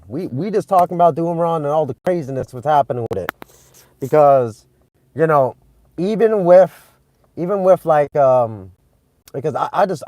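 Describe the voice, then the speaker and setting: Young man, from Vietnam, casual live stream host, excitable, rambling, mid pitch, dry close phone sound. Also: dry recording. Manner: excitable, rambling, many restarts and fillers.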